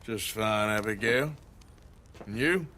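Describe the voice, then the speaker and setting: An actor playing a gruff, dry-witted American outlaw cowboy in a video game, with a laconic, sardonic drawl. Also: male voice, lowish voice, takes it slowly, pushed and bright, a little hiss or hum. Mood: dry, reassuring. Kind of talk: video game cutscene acting.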